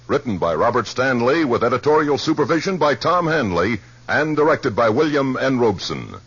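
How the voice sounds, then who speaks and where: A man's voice: medium pitch, fairly bright tone, breathy edge. A man reading scripted credits as a 1950s radio announcer. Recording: dull, faintly noisy 1950s radio recording.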